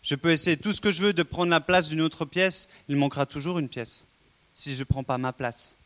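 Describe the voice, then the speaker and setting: A man's voice: a little high, moderate pace, fairly bright tone. A man preaching a sermon, earnest and exhorting, with frequent rhetorical questions to the congregation.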